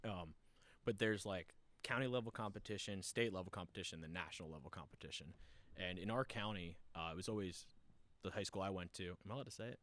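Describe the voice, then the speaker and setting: A man hosting a casual podcast chat, easygoing and quick to laugh, with lots of fillers. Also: sits low, fairly bright tone, dry recording. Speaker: podcast host.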